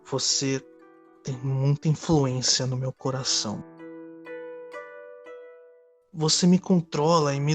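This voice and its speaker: A man acts out an earnest young man in love for an audio drama. He speaks with nervous, dramatic sincerity at a medium pitch. Music plays softly behind him. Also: lightly acted. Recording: music under the voice. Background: background music.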